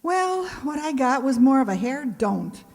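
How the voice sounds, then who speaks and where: A woman's voice: sits high, sing-song, unhurried, darkish tone, exaggerated voice. A woman playing a wry, wisecracking schoolteacher with a dry, quipping manner in a radio comedy. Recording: echoey hall, long reverb.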